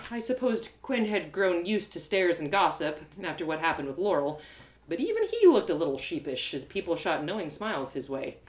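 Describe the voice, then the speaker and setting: A woman performing an animated, expressive first-person fantasy story as a dramatic narrator. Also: fairly low pitch, moderate pace. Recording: roomy home live reading.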